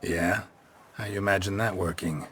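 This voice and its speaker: An actor playing a gruff, laconic monster hunter in a video game, with a gravelly, hushed voice and deadpan, clipped delivery. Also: male voice, sits low, normal speed, a little hiss or hum. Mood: dry, unruffled. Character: gruff, laconic male monster-hunting mercenary, world-weary and unflappable. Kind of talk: video game cutscene acting.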